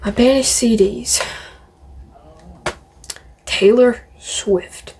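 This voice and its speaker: A woman's voice: on the high side, steady tone, extremely slow, vocal fry, darkish tone, slight background noise. A woman vlogging casually to camera, showing off a collection in a rambling, opinionated way and repeating phrases. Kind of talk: casual show-and-tell to camera.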